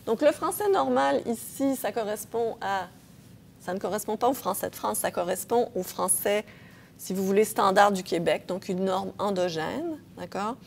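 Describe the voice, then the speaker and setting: A woman's voice: medium pitch, moderate pace, creaky, darkish tone, slight background noise. A woman professor giving an academic lecture, explaining patiently with frequent hesitations and checks of her audience. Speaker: linguistics professor.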